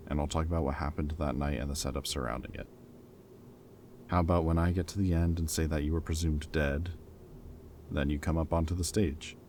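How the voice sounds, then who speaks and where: Ex-villain for roleplay audio drama, male actor: extremely low pitch, casual wry banter, protective friend with a teasing streak. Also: a little mellow, a little hiss or hum. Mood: amused, planning. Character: teasing, caring male ex-villain planning with old hero friend.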